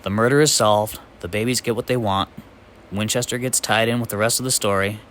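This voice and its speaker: A man reading absurd comic literary snippets aloud in a deadpan storytelling manner. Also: lowish voice, a little fast, a little hiss or hum. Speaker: amateur reader.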